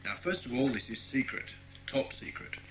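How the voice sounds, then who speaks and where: An actor playing a friendly, obliging British police inspector in a children's audio drama, courteous and explanatory. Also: male voice, medium pitch, animated, normal speed, background noise, lightly acted. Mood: confidential, explanatory.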